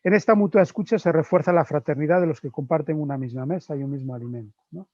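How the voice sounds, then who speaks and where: A middle-aged man with a Spanish accent, speaking a little higher than most men, gives a thoughtful spiritual lecture. He stops often to look for words and tags his points with small questions. The sound is dry and somewhat dull, as on a lo-fi recording. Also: fast, darkish tone, no room sound. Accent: Spain Spanish. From Spain. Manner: reflective, often adds "¿no?" and "eh" while searching for words. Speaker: Dominican friar giving a lecture. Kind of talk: spiritual conference talk.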